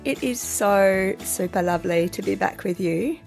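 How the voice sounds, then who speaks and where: Australian woman podcast host, hoarse and croaky, a bit nasal, chatty with self-mocking humour. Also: mid-range voice, normal speed, darkish tone, faint music behind. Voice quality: slightly nasal. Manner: chatty, self-deprecating, laughs often. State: husky, croaky from illness. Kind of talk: solo podcast monologue.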